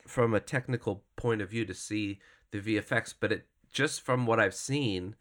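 A man's voice: lowish voice, normal speed, a bit bright. A man co-hosting a casual film podcast while sick, with a stuffy nose and a self-mocking way of talking. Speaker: podcast co-host.

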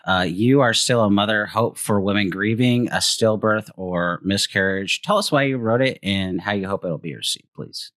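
A man's voice: fairly low pitch, moderate pace, few pauses, a bit bright, no echo. A man hosting a podcast interview, talking casually with many fillers and restarts. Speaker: podcast host.

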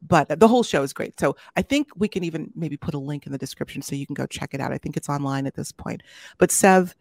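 A woman's voice: low voice, fast, gravelly, no room sound, clean studio sound. A woman hosting an interview show, chatting casually and inviting her guest to tell her story. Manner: warm, chatty, addresses audience as y'all. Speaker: podcast host.

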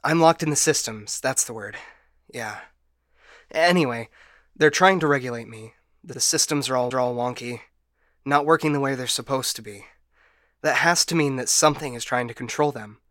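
A man's voice: medium pitch, sharp tone, no room sound, studio quality, lightly acted. A man playing a broken, paranoid ship AI in a science fiction audio drama, stammering and getting stuck repeating words. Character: frightened, broken male ship AI, paranoid and unstable.

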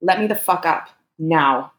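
Woman acting a furious, sharp-tongued woman, roomy sound. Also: deep voice, measured pace. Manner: sharp, swearing, commanding. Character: furious, frightened young woman lashing out at her lover.

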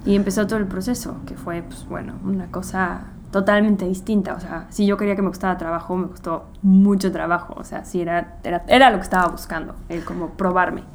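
A woman's voice: lowish voice, a little fast, hardly any pauses, slight background noise. Chatty Mexican woman telling stories. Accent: Mexican Spanish. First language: English. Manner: rambling, full of fillers, animated storytelling. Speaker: guest musician. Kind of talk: casual interview chat.

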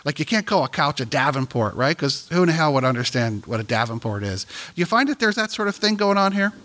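A man hosting an interview, asking quick, jokey questions. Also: fairly high pitch, very animated, a bit bright. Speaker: interview host. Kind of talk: radio interview.

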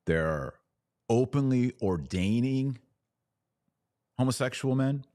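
An American man hosting a podcast, giving opinionated church news commentary in an emphatic way, with deliberate pauses and sardonic asides. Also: medium pitch, lively intonation, warm tone, dry recording.

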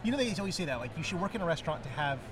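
A man chatting in a rambling, laughing, animated way with lots of fillers as a podcast guest. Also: on the high side, racing. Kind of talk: casual chat. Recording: crowd noise behind. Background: crowd chatter.

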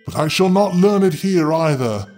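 A man's voice: a little high, expressive, normal speed, darkish tone, faint music behind, natural voice. A man voicing a fearless, simple young man in a storybook tale, earnest and wistful, with archaic phrasing. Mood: wistful complaining.

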